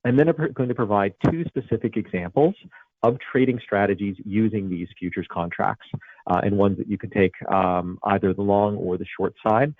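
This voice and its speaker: Presenter, male, American accent, low, heard over a thin phone-like call line. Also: moderate pace, dry recording. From Italy. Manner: many fillers and restarts, conversational lecturing. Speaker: webinar presenter. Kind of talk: presenting a finance webinar. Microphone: video call. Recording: tinny phone-like line, dry.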